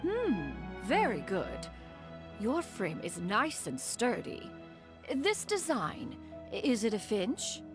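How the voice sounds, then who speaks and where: A woman's voice: fairly high pitch, wildly swooping pitch, slow pace, exaggerated voice. A woman voicing a proud, ageless bird immortal in a game cutscene, formal and haughty yet caring. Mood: approving. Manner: formal, stately, refers to herself as one. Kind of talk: video game cutscene acting. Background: music.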